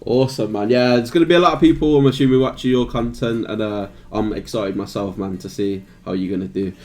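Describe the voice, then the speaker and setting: A man's voice: fairly low pitch, fast, a little mellow, soft background music. A man hosting a casual interview, friendly and upbeat, often calling his guest "man" or "mate". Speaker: interview host.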